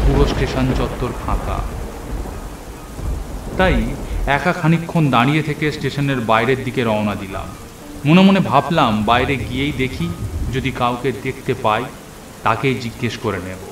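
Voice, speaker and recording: A man narrating a first-person cartoon story in an expressive, dramatised storytelling way. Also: mid-range voice. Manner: expressive storytelling with acted dialogue. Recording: echoey, with noisy train and storm ambience.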